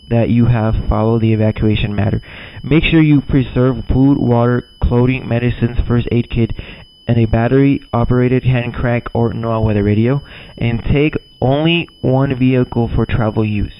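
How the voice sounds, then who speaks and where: A man playing a grave emergency broadcast announcer, reading formally and deliberately from a script, occasionally stumbling over words. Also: medium pitch, level tone, a little hiss or hum, close to an everyday voice. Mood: urgent, instructive. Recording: dull lo-fi audio.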